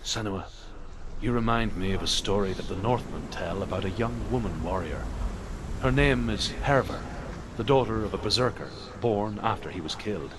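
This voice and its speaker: A man as a deep, solemn legend-teller. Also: lively intonation, background noise, close to an everyday voice. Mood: solemn, reverent. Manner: solemn, measured, dramatic. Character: grave male storyteller recounting Norse legends. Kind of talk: dramatic storytelling in a video game.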